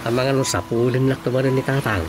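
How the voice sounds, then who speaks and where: Very deep-voiced, laconic Ilocano villager. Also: female voice, steady tone, quick pace. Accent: Filipino, Ilocano. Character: laconic villager who writes drama scripts. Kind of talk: radio drama comedy acting. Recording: loud music and rain effects behind.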